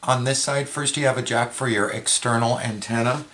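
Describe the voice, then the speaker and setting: A man's voice: mid-range voice, fairly even intonation, normal speed, fairly bright tone. A man giving a plain, matter-of-fact, step-by-step hands-on product demonstration. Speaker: product reviewer, presenter.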